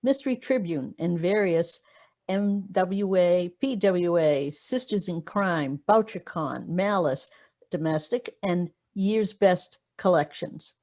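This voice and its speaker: A woman's voice: lowish voice, slow, a little mellow. A woman hosting a video call interview, reading an introduction and then asking questions with frequent fillers. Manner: hesitant with many fillers when speaking freely. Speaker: blog host and interviewer.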